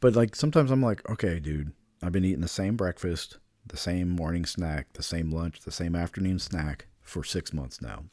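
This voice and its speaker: A man's voice: low voice, moderate pace, few pauses, darkish tone, no room sound, studio quality. A younger man co-hosting a podcast, talking in a laid-back, casual way and often saying "dude" and "like.". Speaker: podcast co-host. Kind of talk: casual chat.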